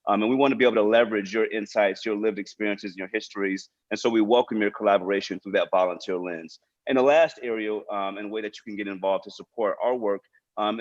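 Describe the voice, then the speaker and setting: A man speaking as a nonprofit director, giving informal prepared remarks to an online panel over a video call. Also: fairly low pitch. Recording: dull, lo-fi video call audio.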